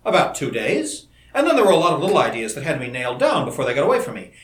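Male narrator as fervent young scientist, raised pitch, emphatic, swooping, storybook dialogue, echoey room. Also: huge pitch swings, rapid-fire. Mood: earnest, apologetic. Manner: intense, emphatic, effusive. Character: ardent, driven young male scientist in love. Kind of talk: old-fashioned storybook dialogue. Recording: roomy, echoing indoor recording.

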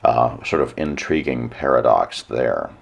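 Very deep, gravelly hoarse man. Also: lively intonation. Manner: measured, lecturing with dry asides. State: hoarse, nearly lost voice. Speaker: radio show host. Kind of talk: solo radio talk monologue.

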